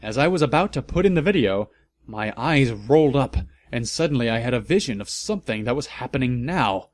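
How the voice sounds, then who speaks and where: A man giving a comedic, mock-serious dramatic reading as a narrator, with theatrical, deliberate emphasis. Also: mid-range voice, lively intonation.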